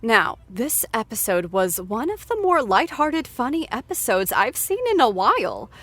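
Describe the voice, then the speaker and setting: A woman's voice: fairly high pitch, big pitch swings, moderate pace, fairly bright tone. A woman hosting a playful after-show interview, effusive and teasing with her guests.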